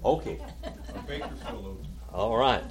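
A man's voice: lowish voice, very slow, a crowd in the background. Oklahoma-accented preacher quizzing his class. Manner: folksy, asks the class questions by name. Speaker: pastor. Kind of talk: interactive Bible teaching.

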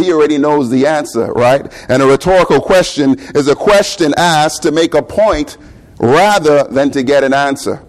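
A man's voice: on the high side, a little mellow. Bahamian-accented man preaching a sermon. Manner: conversational preaching with rhetorical questions and repeated words.

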